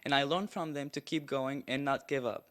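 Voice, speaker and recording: A man's voice: medium pitch, steady tone, normal speed, a bit bright. A teenage boy giving an earnest, prepared presentation at a school board meeting. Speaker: student presenter.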